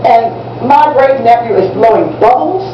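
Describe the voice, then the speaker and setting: A woman's voice: a little high, lively intonation, normal speed, lightly acted. Woman acting enthusiastic American schoolteacher, echoey and distant. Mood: instructive. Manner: didactic, repeats and spells out key words. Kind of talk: amateur stage play acting. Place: indoor room at a public library. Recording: distant, echoey, muffled, noisy room recording.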